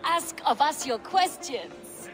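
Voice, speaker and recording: Slow-spoken, hearty giantess. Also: female voice, sits high, sing-song, music in the background, heavily acted. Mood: welcoming. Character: hospitable, hearty female giant, sea lord's daughter. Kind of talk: video game cutscene acting.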